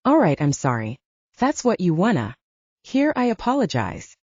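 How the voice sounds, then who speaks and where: A woman's voice: fairly low pitch, wildly swooping pitch, normal speed, darkish tone, breathy edge, no room sound, muffled recording, heavily acted. Woman voicing a brash, defiant werewolf soldier guy. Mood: grudging apology. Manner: brash, profane. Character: hot-headed, defiant male werewolf soldier.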